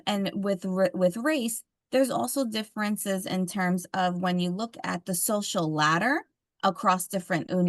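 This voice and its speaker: A woman leads a parenting training, explaining concepts in a steady, conversational flow. Her voice sits at a middle pitch and sounds close and dry, with no room echo. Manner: explanatory, steady, answers questions at length. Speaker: training facilitator.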